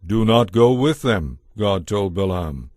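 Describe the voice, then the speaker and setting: Low-pitched male scripture narrator, dry sound. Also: animated, unhurried, darkish tone, no room sound.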